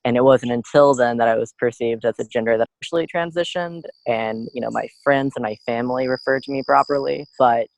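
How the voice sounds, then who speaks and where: A man's voice: medium pitch, fairly quick, creaky, few pauses, no echo, poor audio. A young adult man, a student guest in a podcast interview, speaking in an earnest, reflective way with frequent "you know" and "like".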